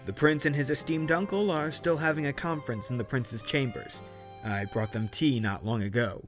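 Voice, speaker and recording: A man playing a softly spoken, reassuring ship's cook with a wry streak in an audio drama. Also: medium pitch, moderate pace, music in the background, lightly acted.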